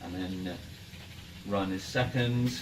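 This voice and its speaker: A man's voice: sits low, takes it slowly. A man running a tabletop game as game master, chatting casually and narrating to the players. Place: room, in-person game session. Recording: roomy, distant mic, clear background noise.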